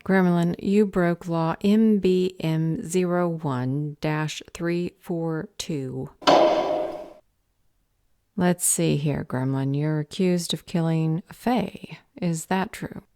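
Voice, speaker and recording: A woman's voice: sits low, takes it slowly, mellow, no echo. A woman playing an unflappable clerk at a magical government office in an audio roleplay, with an officious, matter-of-fact manner. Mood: stern.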